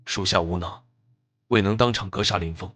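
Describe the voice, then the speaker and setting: An actor playing a menacing assassin who serves a master, with theatrical delivery in an animated drama. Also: male voice, deep voice, a little fast. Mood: urgent, deferential. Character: menacing male assassin, deferential to his master. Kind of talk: animated motion-comic drama acting. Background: loud music.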